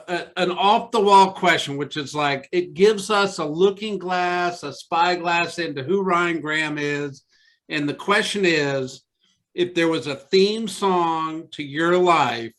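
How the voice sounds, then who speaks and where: A man hosts an interview over a video call in an upbeat, conversational way. His voice is a little higher than most men's, and he leads his guest along with easy questions. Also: fairly bright tone. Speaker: interview host.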